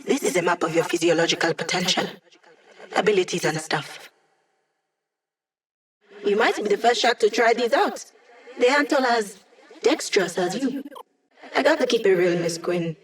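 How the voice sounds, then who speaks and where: A cheeky young female hacker played by a woman guides a video game squad as their digital helper. Her delivery is breezy and wry, with a teasing, techy wit and a mid-range pitch. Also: expressive, moderate pace, long pauses, natural voice. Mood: upbeat, explaining. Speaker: mission support character in a video game.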